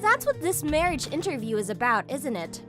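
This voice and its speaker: A woman voicing a haughty young rich heiress, sharp-tongued and scornful, in an anime-style manga dub. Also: high-pitched, sing-song, normal speed, over music. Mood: suspicious, cold.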